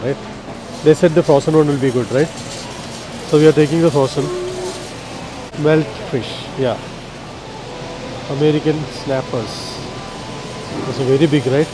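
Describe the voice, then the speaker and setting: A man's voice: on the high side, steady tone, normal speed, pauses often, over music, rough recording. A man with a South Indian accent giving casual walk-through commentary in short remarks, often checking with a tag question. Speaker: vlogger shopping with a young companion. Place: busy supermarket seafood and produce aisles. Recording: loud ambient noise throughout.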